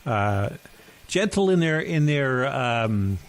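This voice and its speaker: A middle-aged man co-hosting a chat podcast, voice sitting at an ordinary middle pitch. He rambles through his stories, correcting himself as he goes, and now and then he coughs. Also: big pitch swings, slow. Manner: rambling, self-correcting storyteller. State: occasional cough. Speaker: podcast co-host. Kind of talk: casual chat.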